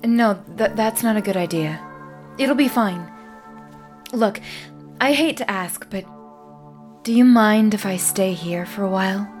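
A woman's voice: medium pitch, a little slow, pauses often, darkish tone, faint music behind, lightly acted. A woman playing a beguiling, mysterious young woman in an audio drama, guarded and evasive, speaking in short phrases. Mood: uneasy. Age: young adult. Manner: terse, evasive.

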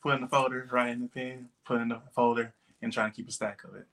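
A man in his late twenties from Texas, a podcast guest talking in a relaxed, rambling way with many fillers. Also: mid-range voice, fast talker, creaky. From Texas, USA. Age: 20s. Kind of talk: casual interview talk.

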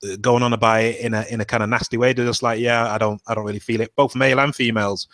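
A man's voice: mid-range voice, little pitch movement, fast talker, fairly bright tone, dry recording. A man co-hosting a podcast, giving his opinions in a loose, conversational way. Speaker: podcast co-host. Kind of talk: casual podcast chat.

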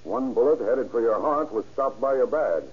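An actor playing a kindly police doctor in an old radio drama, measured and reassuring. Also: male voice, medium pitch, expressive, slightly rough. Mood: calm. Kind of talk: 1930s radio acting. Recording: dull old radio recording.

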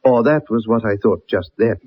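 An actor playing an earnest, persistent ex-soldier in a radio drama, polite but insistent as a troubled, loyal friend. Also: male voice, lowish voice, normal speed, slightly rough. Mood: grave, recounting. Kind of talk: 1960s radio drama acting, first-person narration. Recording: muffled old radio broadcast recording.

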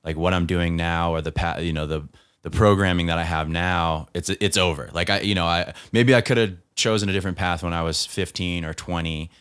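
A male podcast co-host talks in a relaxed, conversational way. His voice is very low and sounds smooth and resonant. He chats easily with his guest. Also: a little fast.

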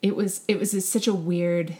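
A woman's voice: medium pitch, fairly even intonation, moderate pace, warm tone. A woman podcast co-host talking casually and personally, effusive and emphatic, dropping "like" into nearly every thought. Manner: effusive, emphatic, says "like" constantly.

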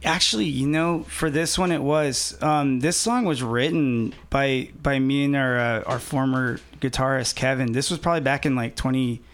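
Relaxed rambling man in his twenties. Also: medium pitch, moderate pace, few pauses. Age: 20s. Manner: relaxed, rambling with many ums. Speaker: musician guest. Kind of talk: casual interview chat.

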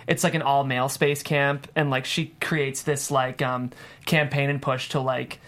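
A man talks as a screenwriter in a casual interview. His pitch is average for a male voice, and he explains his craft in a thoughtful, conversational way, often dropping in "like". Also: little pitch movement, normal speed, fairly bright tone.

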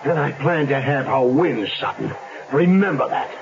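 An actor playing a loud, hot-tempered horse owner in a 1970s radio drama, on a muffled old broadcast. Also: male voice, medium pitch, lively intonation, creaky, a crowd in the background. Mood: defiant. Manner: loud, bullying, quick to rage.

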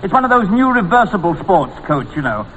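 A man's voice: high voice, big pitch swings, brisk, fairly bright tone, highs cut off. A man playing a polite, muddled English twit with a British accent, stammering through 1940s radio comedy. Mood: befuddled. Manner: stammering, repetitive. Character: polite, befuddled, chattering English gentleman twit. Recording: faintly noisy vintage radio.

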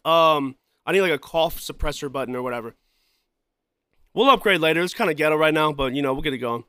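A man hosting his own podcast in casual, rambling talk, repeating himself, often saying "you know" and "man", and clearing a scratchy throat. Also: a little high, fast, sharp tone, dry recording, clean studio sound. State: throat trouble, coughing and clearing throat. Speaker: podcast host and musician.

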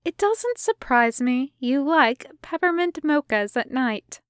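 A breezy female kidnapper from an audio story, acted out by a woman reader, speaks in a clear, fairly high voice. She is chatty and sweetly condescending, with a sardonic edge, in a dry, close recording. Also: lively intonation, slow pace, no room sound. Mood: mock sweet. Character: breezy, smug female covert agent and kidnapper.

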